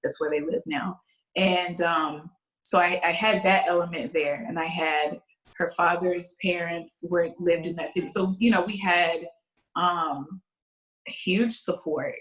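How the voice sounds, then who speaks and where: A woman in her late thirties, chatting casually as a podcast guest, telling stories in an expressive, animated way. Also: fairly low pitch, measured pace, echoey room, poor audio. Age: 30s. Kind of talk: casual chat.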